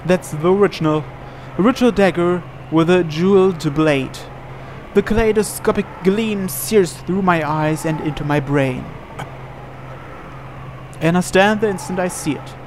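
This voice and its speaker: A man's voice: sits high, unhurried, a little mellow, a little hiss or hum. A man reading visual novel narration aloud dramatically, as a let's player.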